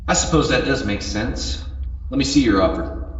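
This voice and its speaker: A man playing a shrewd, defiant rural miner in a retro radio horror drama, with a dry, unhurried, folksy way of talking. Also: mid-range voice, natural voice. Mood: wary, steady. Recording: muffled, echoing cave-like sound with noise.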